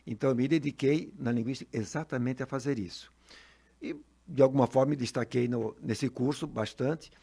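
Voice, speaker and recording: A man's voice: medium pitch, brisk, vocal fry, mellow, breathy edge. An older man, a veteran teacher telling stories in a radio studio with frequent tag questions and chuckles. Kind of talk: relaxed storytelling in a radio interview.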